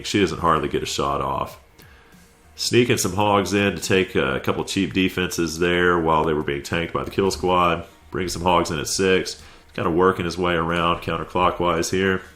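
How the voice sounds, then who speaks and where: A man's voice: low voice, fairly even intonation, moderate pace, a bit bright. A man with a stuffy head cold giving laid-back, conversational play-by-play commentary over a video game recap. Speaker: clan war recap commentator.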